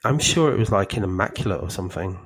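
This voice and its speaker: A Welsh man co-hosting a podcast, chiming in with quick questions. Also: low-pitched, lively intonation, slightly rough. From Wales. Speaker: podcast co-host. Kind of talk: casual chat.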